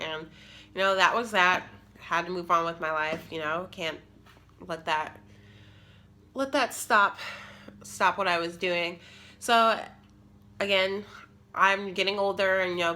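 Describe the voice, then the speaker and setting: A woman's voice: lowish voice, sharp tone. A woman in her twenties telling a personal story in a casual, rambling way, sounding nasal and congested from a cold. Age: 20s. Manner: rambling, casual, many fillers. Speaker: vlogger. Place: living room, sitting on the floor.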